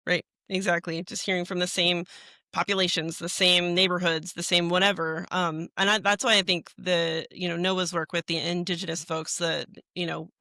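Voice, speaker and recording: Podcast host, bright tone, dry sound, eager, lots of fillers. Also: mid-range voice, little pitch movement, normal speed, creaky, dry recording. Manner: enthusiastic, filler-heavy. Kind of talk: podcast interview.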